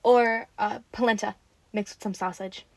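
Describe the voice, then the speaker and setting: A woman acting in an audio roleplay as a friendly, excitable young woman who rambles casually and gets playfully defensive. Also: mid-range voice, moderate pace, creaky, no room sound, lightly acted. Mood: relaxed, reminiscing.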